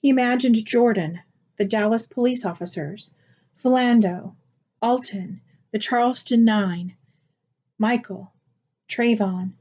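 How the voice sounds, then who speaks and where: A woman's voice: fairly high pitch, a little slow, pauses often, a little mellow, dull audio. A woman reading scripted picture book narration aloud. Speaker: storybook reader.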